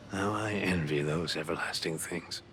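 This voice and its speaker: An actor playing a frail, grateful old refugee in a video game, speaking slowly and wistfully in measured phrases. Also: male voice, low-pitched, a bit bright, a little hiss or hum. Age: older. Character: frail, grateful old male refugee, world-weary but content. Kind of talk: scripted game dialogue.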